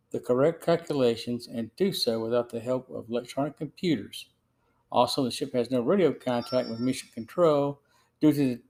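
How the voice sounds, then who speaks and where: A man hosting a podcast, reading a scripted film summary in a measured, somewhat halting way with occasional stumbles. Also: mid-range voice, a little mellow. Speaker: podcast host.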